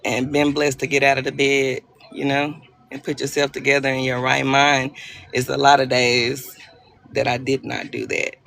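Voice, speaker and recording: Chatty woman with a gravelly edge. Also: extremely low pitch, flat delivery, moderate pace, a bit bright, slight background noise. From Arkansas. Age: 40s. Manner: chatty, playful, self-deprecating, greets viewers by name. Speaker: livestream host. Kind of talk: casual live-stream chat with viewers.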